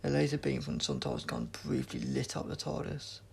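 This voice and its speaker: A man's voice: medium pitch, huge pitch swings, moderate pace, vocal fry, a little hiss or hum. A man reading an adventure story aloud as a dramatic audiobook narration. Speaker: audiobook reader.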